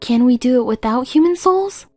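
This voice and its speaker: Woman as a human child, high, mellow, soft, questioning, determined, faint music, comic dub. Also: lively intonation, moderate pace. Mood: earnest. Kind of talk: fan comic dub acting.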